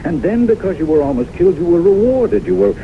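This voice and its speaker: An earnest, insistent doctor, animated pitch. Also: male voice. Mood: probing. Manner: emphatic, deliberate, dramatic phrasing. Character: earnest male doctor, devoted lover, persuasive and insistent. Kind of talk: 1970s radio drama acting. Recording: noisy, muffled old radio broadcast recording.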